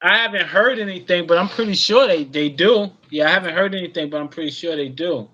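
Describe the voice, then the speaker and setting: High-voiced easygoing man on a lo-fi call. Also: quick pace, bright, forceful tone, a little hiss or hum. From Queens, New York. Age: 40s. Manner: easygoing, often adds "you know what I mean". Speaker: guest. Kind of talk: casual chat.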